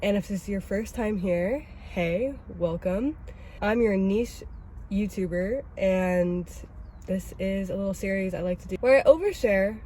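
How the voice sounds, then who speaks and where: A woman's voice: mid-range voice, unhurried, warm tone, a little hiss or hum. Stuffy-voiced woman rambling in a car. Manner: rambling, self-critical, chatty. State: has a cold, raspy and stuffy. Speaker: vlogger host. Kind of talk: casual oversharing vlog talk. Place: inside a parked car.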